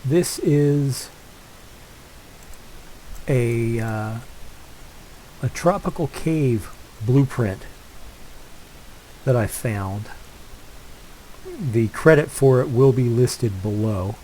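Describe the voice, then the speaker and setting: A man's voice: mid-range voice, very slow, pauses often, mellow, slight background noise, poor audio. An older man hosting a game let's play, chatting casually and congested from a head cold, rambling with lots of fillers and restarts. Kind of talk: casual gameplay commentary.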